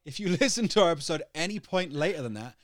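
A man's voice: fairly high pitch, expressive, quick pace, dry recording, clean studio sound. A young man co-hosting a podcast in casual chat, joking and laughing often as he talks things through. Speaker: podcast co-host.

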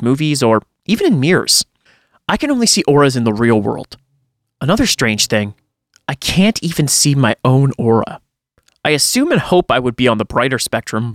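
A man's voice: mid-range voice, a bit bright, no echo, studio quality. A man reading a first-person horror story aloud in a dramatic storytelling style, as a podcast host would.

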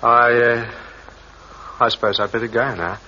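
A man's voice: mid-range voice, steady tone, pushed and bright. An actor playing an awkward, intense young man with a dangerous streak in an old radio crime drama, on a muffled recording. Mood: shy, halting. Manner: halting, intense.

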